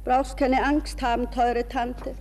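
A restless young lady in a radio monologue. Her voice is fairly high and very mellow, musing and self-questioning with an ironic edge. A long reverberation surrounds it. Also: little pitch movement, takes it slowly, soft background music. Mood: uneasy, mocking. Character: restless, ironic, dreamy young society woman on holiday. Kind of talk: radio drama interior monologue.

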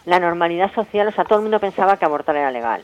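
Fast-talking Spanish woman, emphatic and colloquial. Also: lowish voice, lively intonation, highs cut off. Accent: Spain. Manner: emphatic, colloquial, full of checking tag questions. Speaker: interviewed guest, health professional and feminist activist. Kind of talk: informal radio interview, explanatory.

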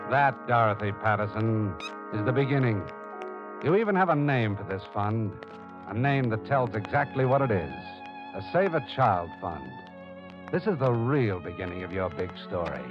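An actor playing a solemn male newspaper editor and storyteller in an old-time radio drama, measured and dramatic. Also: lowish voice, expressive, natural voice. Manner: measured, dramatic second-person delivery. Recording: muffled old radio recording, music behind.

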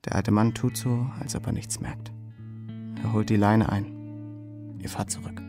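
An actor playing the watchful inner voice of a young soldier, narrating a radio drama in terse, observing phrases. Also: male voice, lowish voice, level tone, unhurried, close to an everyday voice. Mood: hushed, intense. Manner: terse, present-tense, observing. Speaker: second-person narrator. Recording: studio radio play with guitar underneath.

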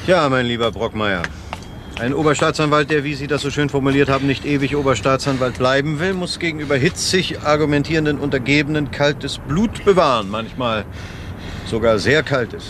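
An actor playing an ambitious, cold-blooded senior prosecutor in a radio crime drama, speaking formally and with condescension. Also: male voice, medium pitch, brisk, lightly acted. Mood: superior, lecturing. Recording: clear urban outdoor and vehicle noise.

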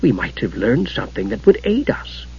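A man voicing a quiet, thoughtful, scholarly god in a fantasy audiobook. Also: lowish voice, wildly swooping pitch, moderate pace, gravelly, husky, recorded from a distance, dull audio, heavily acted. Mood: subdued. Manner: soft, thoughtful.

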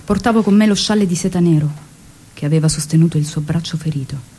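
An actress playing a mature, grieving widow who remembers in a hushed, measured, solemn and tender theatrical monologue. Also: female voice, sits low, slightly rough. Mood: solemn, tender grief.

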